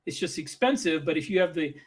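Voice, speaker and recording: A man's voice: fairly high pitch, expressive, fast. A man co-hosting a podcast, explaining his opinions casually and emphatically, often repeating phrases as he argues. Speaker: podcast co-host and author.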